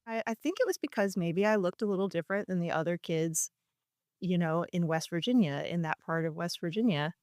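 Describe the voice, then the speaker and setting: A woman's voice: fairly low pitch, expressive, fairly quick, a little mellow. A woman guest on an interview show, telling candid, self-deprecating stories and laughing often in casual conversation. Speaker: podcast guest, actor.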